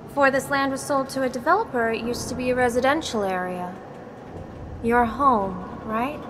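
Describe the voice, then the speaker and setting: A woman's voice: a little high, moderate pace, background noise, lightly acted. An actress playing a determined, earnest young female spirit in a radio play, firm and tough. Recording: voice over clear music.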